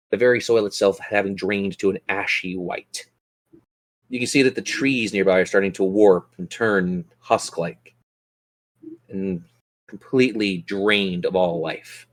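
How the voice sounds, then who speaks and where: A man's voice: low-pitched, a bit bright. A man narrating a tabletop role-playing game as game master, describing scenes and prompting players with questions.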